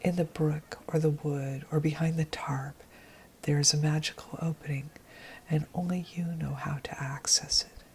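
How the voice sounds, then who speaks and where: A woman leading a guided meditation in a gentle, unhurried, breathy voice that often nears a whisper. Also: low-pitched, fairly even intonation, a little hiss or hum. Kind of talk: guided meditation, slow soothing narration. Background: meditation music.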